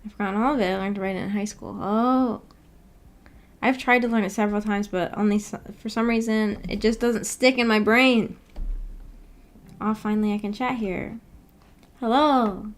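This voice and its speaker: A woman's voice: mid-range voice, steady tone, normal speed, pauses often. A woman chatting casually on a livestream and reading viewer comments aloud in a playful, giggly manner. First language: English. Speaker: streamer hosting a book club. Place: indoor livestream with animated avatar.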